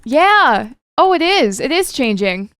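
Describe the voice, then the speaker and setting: A woman's voice: sits high, big pitch swings, slow pace, fairly bright tone, dry recording, clean studio sound. A woman co-hosting casual reaction commentary in a playful, joking manner. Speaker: co-host.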